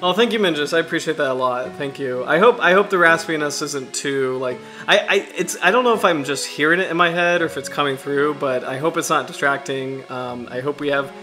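A man in his thirties from the US Chesapeake Bay region, hosting a casual livestream in a halting, self-deprecating way, his throat slightly raspy from allergies. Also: a little high, bright, forceful tone, soft background music. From United States, Chesapeake Bay region. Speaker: livestream host.

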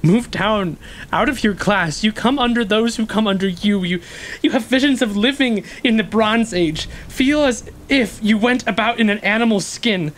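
An actor playing a bitter, wounded ex-convict in an audio stage play, intense and cunning, with dramatic, halting, emphatic delivery. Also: male voice, high voice, a bit bright. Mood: anguished, bitter. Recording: rainy ambience and faint noise.

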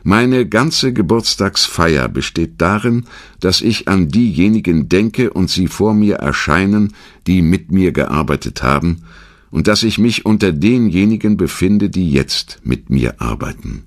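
A man voicing a grateful, humble elderly doctor giving an earnest, measured speech within a documentary. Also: deep voice, expressive, pitched above the performer's own voice. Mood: solemn gratitude.

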